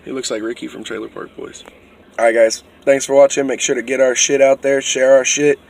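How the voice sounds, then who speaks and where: A young man, vlogging loosely and profanely from inside a moving SUV, his voice worn and going hoarse. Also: mid-range voice, little pitch movement, brisk, darkish tone. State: losing his voice. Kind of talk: casual car vlog. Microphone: phone held at arm's length.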